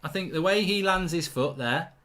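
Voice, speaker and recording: A man's voice: high voice, normal speed, bright, forceful tone. Blunt man hosting a live match watchalong. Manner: chatty, reactive, blunt and indignant with mild swearing. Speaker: football watchalong host. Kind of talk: live match watchalong commentary reading viewer chat.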